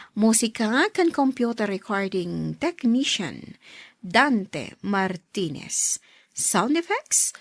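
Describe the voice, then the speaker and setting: Female announcer for a scripted radio program, measured and formal with varied intonation, dry recording. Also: a little high, dry recording.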